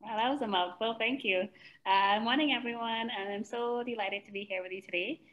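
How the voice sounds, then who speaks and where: A woman's voice: a little high, fairly quick, muffled recording. A middle-aged woman from Trinidad and Tobago, giving a chatty, self-deprecating business presentation. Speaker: guest speaker, spa owner.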